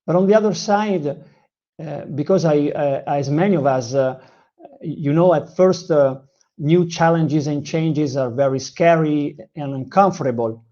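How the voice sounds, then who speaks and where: A man's voice: fairly high pitch, warm tone, lo-fi sound. A man who learned English as a second language, earnestly telling his personal learning story, with frequent fillers and restarts. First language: not English. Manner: earnest, halting with many fillers. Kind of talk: personal video narration.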